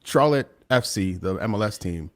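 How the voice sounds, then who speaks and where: A man with a fairly low voice co-hosts a sports podcast. His delivery is casual and conversational, built from short, informal phrases. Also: animated, moderate pace. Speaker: podcast co-host.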